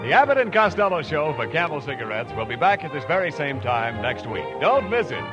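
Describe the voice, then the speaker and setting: Upbeat radio announcer over music. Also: male voice, fairly high pitch, big pitch swings, brisk, highs cut off. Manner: upbeat, polished announcer delivery. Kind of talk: scripted commercial announcing. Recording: 1940s radio broadcast with music.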